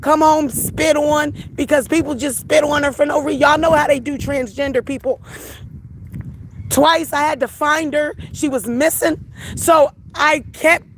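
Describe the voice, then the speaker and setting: Hoarse, high-voiced woman, teary and raw. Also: steady tone, unhurried, a little hiss or hum, poor audio. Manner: raw, emotional, repeats herself. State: hoarse from crying. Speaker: livestream host. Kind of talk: emotional livestream talk to viewers.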